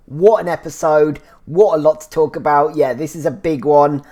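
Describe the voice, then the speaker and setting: A man's voice: on the high side, steady tone, normal speed, mellow. Guy doing a chatty review show, bit bunged up and phlegmy, keeps going "so yeah". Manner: chatty and rambling, with frequent "so yeah" restarts. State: congested, slightly phlegmy. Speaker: podcast host reviewing a TV series. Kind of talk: casual solo review chat.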